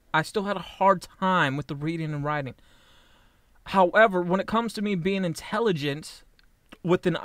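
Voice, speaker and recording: A man's voice: high voice, a bit bright, no room sound, clean studio sound. A man in his late twenties talking through a casual personal vlog, rambling and blunt, swearing freely and often restarting his sentences. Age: 20s. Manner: halting with frequent restarts. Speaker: vlogger.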